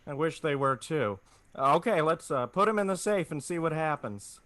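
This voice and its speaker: Small-town family man played by a man for 1940s-style radio drama: earnest, hesitant, animated, slightly high-pitched. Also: normal speed, no room sound. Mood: hurried, exasperated. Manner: stammering, hesitant, folksy.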